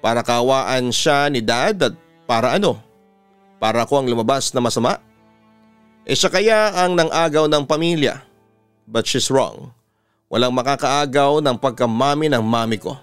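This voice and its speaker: A man's voice: mid-range voice, normal speed, clear, resonant tone, dry recording, soft background music, lightly acted. A man voicing a sullen, resentful young son in a narrated story, curt and switching between English and Tagalog.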